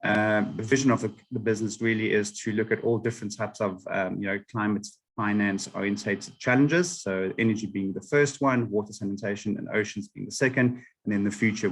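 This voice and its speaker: A South African man presenting slides to a webinar audience, steady and explanatory with frequent ums. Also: lowish voice, fairly quick, hardly any pauses, breathy edge. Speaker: webinar panelist.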